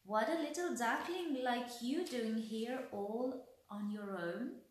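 A woman playing a big, furry, friendly beaver who sounds hearty and cheerful. He speaks slowly, his voice ringing through a very echoey room, as in a children's storybook. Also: fairly high pitch, pitched above the performer's own voice.

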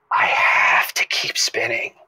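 A man playing an earnest, self-important radio show caller in an audio drama, talking casually and haltingly. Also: bright, forceful tone, dry recording, heavily acted. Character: self-serious, devoted male sign spinner calling radio show. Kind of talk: semi-improvised audio drama, casual phone-in chat.

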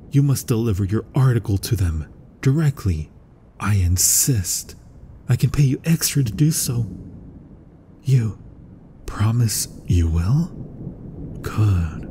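A man playing an obsessed, grandiose mad scientist in a gothic horror ASMR roleplay, with florid, dramatic, often breathy delivery. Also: fairly low pitch. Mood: insistent. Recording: thunderstorm and rain noise. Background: rain and thunder ambience.

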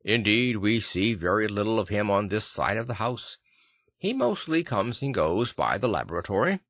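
A man's voice: fairly low pitch, big pitch swings, moderate pace, a bit bright, dry recording, studio quality, exaggerated voice. A man voicing an elderly male butler in an audiobook, polite, formal and dutiful. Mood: deferential. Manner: formal, courteous. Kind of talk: audiobook character reading.

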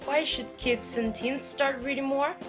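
A woman's voice: sits high, fairly even intonation, a little slow, music in the background. A twenty-two-year-old woman from Venezuela speaking sincerely to camera. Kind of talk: personal testimonial to camera.

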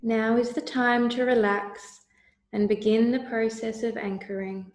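Calm lady doing a guided meditation, super slow and soothing, echoey room sound. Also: fairly high pitch. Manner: calm, soothing, deliberate instruction. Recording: roomy, echoey voice recording.

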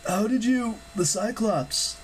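Audiobook role: male reader as a bewildered young hero, earnest, questioning, halting, voice pitched up. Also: slight background noise. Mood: startled, stammering. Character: earnest, confused teenage boy hero. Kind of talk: audiobook character acting.

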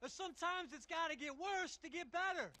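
An actor playing a slick, whiny American tennis coach in a video game, full of bro-ish excuses. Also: male voice, very high voice, animated, brisk, sharp tone, no room sound, exaggerated voice. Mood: smug, placating. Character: slick, laid-back male tennis coach, weaselly and defensive.

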